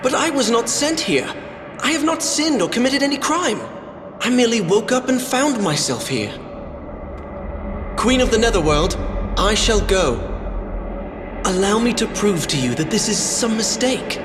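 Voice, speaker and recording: An actor playing an earnest young prince in a fantasy video game, formal, courteous and resolute. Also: male voice, high voice, big pitch swings, a little slow, long pauses. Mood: resolute protest. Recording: long echoing reverb with music behind.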